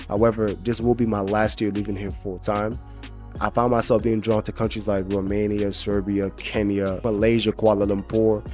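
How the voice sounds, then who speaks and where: A young man talking casually to camera in a vlog, relaxed and slangy. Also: fairly low pitch. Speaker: vlogger.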